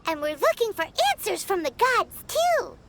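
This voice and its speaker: A woman voicing a tiny, chirpy floating girl companion in a video game, with a high, squeaky voice and a quick way of chiming in. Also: very animated, creaky, full character voice. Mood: subdued. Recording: game audio with loud music behind.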